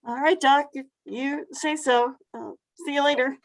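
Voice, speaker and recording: A woman playing a bewildered woman, high and dry. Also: steady tone, moderate pace, dry recording, low-quality recording. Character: bewildered woman named Susan.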